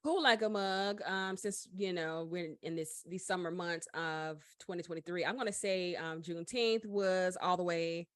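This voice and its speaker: A woman with a Southern accent co-hosting a podcast in casual chat, teasing and animated with quick laughs. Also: on the low side, no room sound, studio quality. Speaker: podcast co-host.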